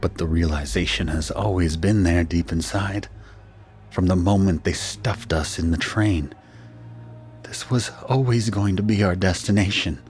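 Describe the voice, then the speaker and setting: Anguished husband narrator, audio drama, deep voice, grave, dramatic, music behind. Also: male voice, darkish tone. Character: anguished husband and father narrating his ordeal. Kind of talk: scripted first-person audio drama narration.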